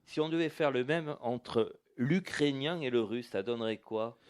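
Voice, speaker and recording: A man hosting a bookshop interview, his voice at an ordinary middle pitch. He builds his questions slowly, stumbling and repeating words before he reaches the point. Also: clean tone.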